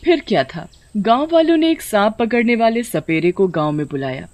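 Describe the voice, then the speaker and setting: A woman's voice: medium pitch, lively intonation, normal speed, a little mellow. A woman reading a scripted story as its narrator.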